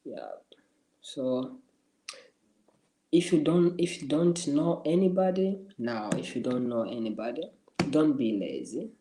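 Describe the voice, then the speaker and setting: Man, livestream host, mellow warm tone, encouraging, repeats himself a lot. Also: medium pitch, a little slow, long pauses, a little hiss or hum, rough recording. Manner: encouraging, repeats and restarts phrases. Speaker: livestream host answering viewers. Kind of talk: casual livestream advice.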